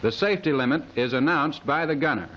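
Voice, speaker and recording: A man's voice: on the high side, quick pace, a bit bright. A man reading scripted military instructional narration in a formal, precise manner, in the style of a 1930s training film. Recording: dull, hissy 1930s film soundtrack.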